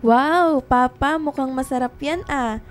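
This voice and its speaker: A woman's voice: sits high, measured pace, soft background music. A woman playing a cheerful, affectionate younger daughter in a radio drama.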